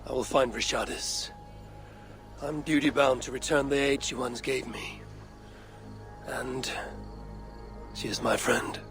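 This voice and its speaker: A man's voice: medium pitch, steady tone, slow pace, long pauses, over music. A man playing a stern, steadfast Viking warrior in a dramatic video game, blunt and restrained. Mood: resolute.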